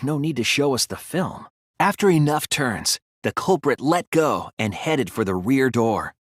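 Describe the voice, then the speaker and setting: An earnest young detective, expressive and husky. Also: male voice, mid-range voice, unhurried, dry recording, clean studio sound. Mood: earnest, analytical. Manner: careful, reasoning step by step. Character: earnest young male detective student, analytical and soft-spoken. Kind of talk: video game voice acting, deductive explanation.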